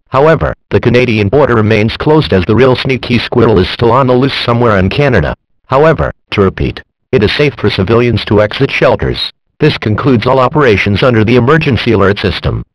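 A man's voice: lowish voice, dry recording, low-quality recording. A man voicing an impersonal emergency alert announcer, formal and official, reading a scripted alert.

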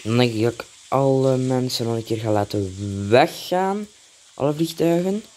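A man with a Flemish accent chats casually as he comments on a game. His pitch sits in the middle, and noise can be heard behind him. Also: moderate pace, a little mellow. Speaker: gaming video host.

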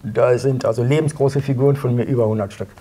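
A middle-aged man answering interview questions casually, in a plain-spoken, matter-of-fact way with a husky, gravelly voice. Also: medium pitch, steady tone, quick pace, warm tone. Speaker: interview guest, sculptor and illustrator. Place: small indoor talk-show studio.

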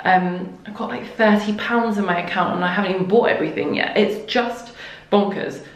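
A woman's voice: mid-range voice, a little fast. A young woman vlogging a home renovation in a casual, upbeat way, with lots of ums, filmed on a handheld phone in a half-done house. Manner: chatty, upbeat, many ums, chats to her toddler in between. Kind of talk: casual vlog to camera. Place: half-renovated house, echoey bare kitchen. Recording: echoey room, faint noise.

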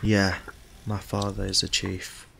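Casting: male actor as eager young detective, a little unsure, low voice, podcast drama. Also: little pitch movement, measured pace, vocal fry, fairly bright tone, slight background noise, full character voice. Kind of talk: audio drama acting. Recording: music behind the voice.

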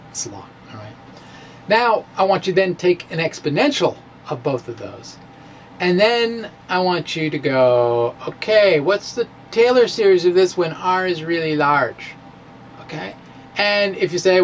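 A man's voice: fairly high pitch, slight background noise. A middle-aged American man presenting science in a theatrical, wry and self-deprecating way, part dramatic reading and part casual aside. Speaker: science video blogger presenting his physics speculation. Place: small indoor room with bookshelves. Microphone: handheld-style microphone on a stand, close in front.

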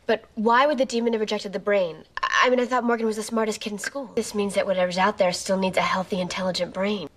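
A woman playing a high school girl in a supernatural television drama. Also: fairly even intonation, fast, few pauses, a little hiss or hum, natural voice. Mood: puzzled. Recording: TV show playback with loud music.

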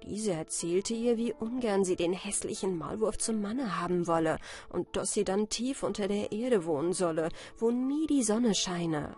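A woman's voice: mid-range voice, moderate pace, hardly any pauses, warm tone. A woman narrating a bedtime fairy tale as a scripted audiobook. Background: music.

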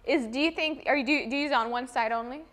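High-voiced young woman interviewing. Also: fast talker, fairly bright tone. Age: young adult. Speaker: interview host. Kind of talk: casual interview questioning. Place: small furnished indoor room.

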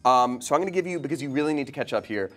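A young man hosting a casual, jokey game show in a studio, playfully dramatic with deadpan asides. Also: mid-range voice, racing. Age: young adult. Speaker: quiz show host. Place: indoor studio set.

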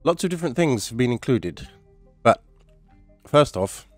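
A man's voice: medium pitch, big pitch swings, a little slow, dry recording, faint music behind. A man with a stuffy, nasal voice from a cold, chatting casually while commentating a survival game. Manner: chatty, rambling, mild swearing. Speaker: gaming YouTuber.

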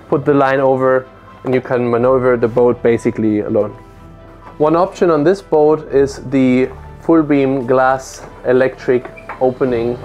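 A man's voice: medium pitch, darkish tone. A man in his thirties giving a methodical walkthrough of a yacht, recorded on board with a lapel mic. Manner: explanatory, methodical, lists features. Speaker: boat presenter. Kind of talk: product walkthrough. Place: aboard a motor yacht on a lake, on deck and in cabins.

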